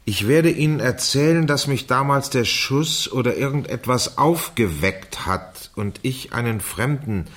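A man's voice: medium pitch, animated, unhurried, few pauses, a bit bright. An actor playing a man who reads a teenage girl's diary aloud in a theatrical, mocking way in a radio crime play. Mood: deliberate. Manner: theatrical, dictates punctuation marks aloud.

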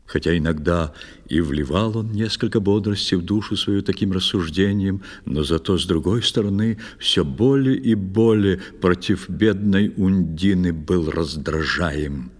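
Deep-voiced man narrating in husky tones. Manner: measured, expressive, storytelling delivery. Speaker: narrator of a children's musical audio play. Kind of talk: theatrical verse narration. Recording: long studio reverb, music underneath. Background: music.

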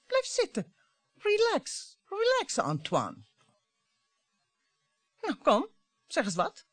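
A woman's voice: fairly high pitch, no echo. An actress playing a bitter, mocking wife in her fifties in a radio play, with a theatrical way of talking. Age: 50s. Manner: theatrical, mocking, swinging between coaxing and scorn. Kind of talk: radio drama acting.

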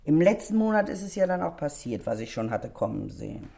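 A woman's voice: low voice, sing-song, fairly quick, gravelly, warm tone, slightly rough, full character voice. An actress playing a gossipy, self-righteous middle-aged landlady in a German crime radio play, chatty, indignant and insinuating.

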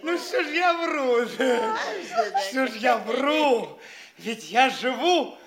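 A man's voice: extremely high pitch, lively intonation, drawn-out words, over a noisy crowd, heavily acted. An actor playing a boastful, foppish young man in a theatrical stage comedy, gushing and stammering over his own boasts. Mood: tipsy grandiose bragging.

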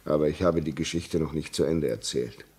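An actor playing a grave, shaken railway signalman in a German radio play, telling his story haltingly and insistently. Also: a bass voice, a little mellow, husky, heavily acted. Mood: sombre. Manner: slow, halting, repeats words for emphasis.

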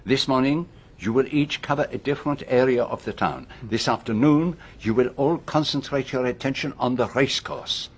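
An actor playing a courteous, commanding middle-aged Belgian detective in a radio drama, with a French-speaking accent and deliberate, theatrical delivery. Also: male voice, mid-range voice, husky. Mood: brisk, authoritative.

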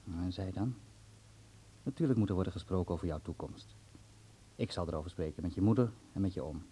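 An actor playing a middle-aged family doctor in a radio drama, concerned and paternal, steady and firmly admonishing. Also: male voice, low-pitched, brisk, slightly rough. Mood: firm, persuading.

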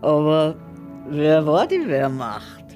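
An actor playing a village man who recounts wartime memories in a radio drama, unhurried. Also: a little high, big pitch swings, over music. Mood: wry.

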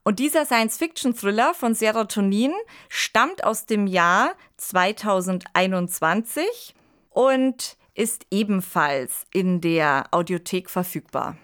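A woman's voice: mid-range voice, expressive, slow pace, fairly bright tone, smooth. A woman hosting a podcast with a scripted presenting style. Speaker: podcast host.

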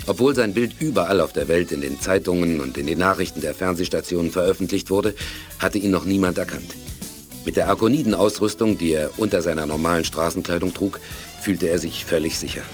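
An actor as the sober storyteller of a space adventure, reading scripted narration for a 1980s German radio play. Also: male voice, low voice, a little fast, close to an everyday voice. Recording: faint music behind studio narration.

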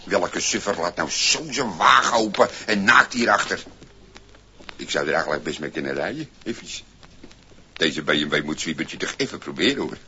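A scruffy, cheeky vagrant in a 1960s radio play. His bright, very animated voice mutters to itself, exclaims and trips over itself when flustered. The old record is muffled, with a little noise. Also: sits low, normal speed, exaggerated voice. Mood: delighted wonder. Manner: stammering when flustered, exclaiming, talking to himself. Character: scruffy good-natured cheeky vagrant man, easily flustered and indignant. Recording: muffled, noisy 1960s vinyl single recording.

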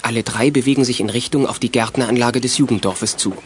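A man's voice: medium pitch, steady tone, fast, slight background noise, natural voice. A man narrating a children's detective radio play as its storyteller. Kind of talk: scripted narration.

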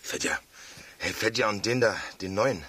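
A rough, servile gang henchman in a radio play. The voice is low, husky and forceful, with a sharp edge. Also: normal speed. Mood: coarse, eager. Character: rough, servile male henchman of a criminal boss. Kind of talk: German radio drama acting.

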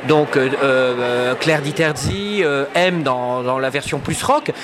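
A man's voice: mid-range voice, slow, background noise. A man speaking in a radio interview about culture, hesitant, with many fillers and restarts. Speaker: cultural attaché at an embassy.

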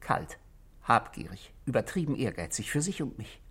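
A man playing a bitter but controlled husband in a 1960s German radio drama, speaking in formal, deliberate phrases. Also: medium pitch, big pitch swings, fairly bright tone, husky, full character voice. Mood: bitter, confessional. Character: controlled, bitter married man confessing to police.

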